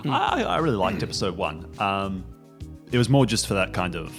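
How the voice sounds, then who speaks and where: A man's voice: sits low, very animated, moderate pace, over music. A man co-hosting a podcast, rambling and hesitant in casual chat. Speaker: podcast co-host.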